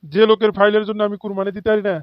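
A man's voice: sits high, little pitch movement, very fast, highs cut off, close to an everyday voice. A man voicing a corrupt, self-righteous office official in a comic cartoon, with a Bangladeshi regional accent and sanctimonious, pious phrasing. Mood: indignant. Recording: loud music behind the voice. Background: loud music.

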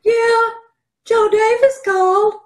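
Brief: extremely high-pitched scatterbrained secretary, old radio comedy, echoey room. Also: female voice, steady tone, slow. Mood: oblivious. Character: ditzy, oblivious, self-absorbed female office secretary. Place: indoors at home on a video call. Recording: roomy echo on video call.

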